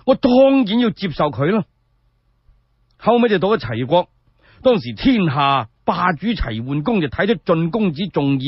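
A Cantonese man performs a solo radio story in a colloquial, dramatic way. His voice is raspy and a bit high, swinging widely in pitch. The recording is dry and dull. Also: no room sound. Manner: animated, colloquial, drawn-out phrasing with rhetorical questions. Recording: dull lo-fi sound.

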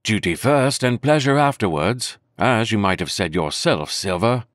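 A man voicing a brisk, steady middle-aged ship's doctor in a storybook reading. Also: on the low side, no echo, studio quality. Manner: brisk, cheerful bedside manner.

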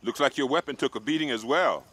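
An actor playing a gruff, blunt army squad sergeant who gives commands in a military video game. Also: male voice, mid-range voice, fast, fairly bright tone, close to an everyday voice. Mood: steady, commanding. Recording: clear vehicle and outdoor noise behind.

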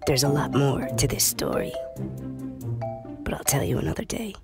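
Stevie Jackson, a solemn and secretive male guardian, as a woman acts him out, speaks in an extremely deep and gravelly voice that rises and falls dramatically, at times sinking into a hushed whisper. Loud background music plays behind him in this homemade audio story. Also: huge pitch swings, normal speed, heavily acted. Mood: warning.

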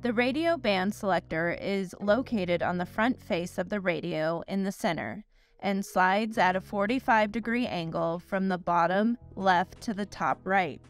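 Female voice-over for a how-to demo: steady, clear, methodical delivery, faint music underneath. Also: medium pitch, a little slow. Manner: clear, steady, step-by-step explaining. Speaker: instructor. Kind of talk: scripted instructional narration.

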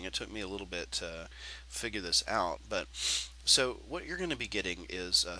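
A man's voice: low voice, a little hiss or hum, low-quality recording. A man hosting a tech screencast tutorial, talking casually with lots of fillers, sounding nasal and stuffy from allergies. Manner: relaxed, rambling with many fillers.

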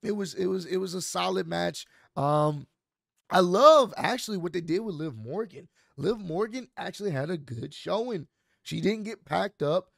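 A man in his thirties with a hoarse, worn-out voice, casually recapping a wrestling show for his channel from a home studio. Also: high-pitched, normal speed, no room sound. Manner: animated, talks with his hands, loose slangy delivery. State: hoarse, voice mostly gone. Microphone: large studio mic on boom arm. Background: soft instrumental music.

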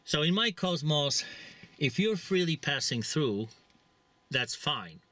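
A man's voice: fairly high pitch, very animated, slow, pushed and bright, no echo. Blunt Czech-accented man in a car. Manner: blunt, rambling, preachy with many fillers. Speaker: vlogger. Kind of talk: casual monologue to camera. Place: inside a parked vehicle. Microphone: camera mounted close in front.

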